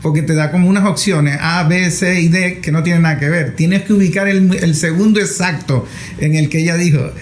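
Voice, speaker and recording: A man's voice: fairly high pitch, steady tone, brisk. A middle-aged man interviewing conversationally and sharing personal anecdotes. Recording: echoey room with faint noise.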